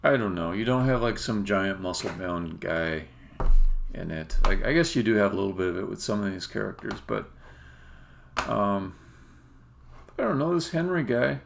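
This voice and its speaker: A man who develops indie games, talking casually and haltingly as he thinks aloud through a live-stream demo. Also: on the low side, expressive, vocal fry, slight background noise.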